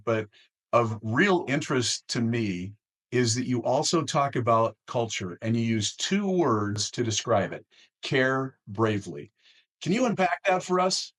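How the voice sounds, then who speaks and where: A man hosting an interview, setting up his questions with measured lead-ins and deliberate, formal phrasing. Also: medium pitch, fairly bright tone. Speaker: interview host.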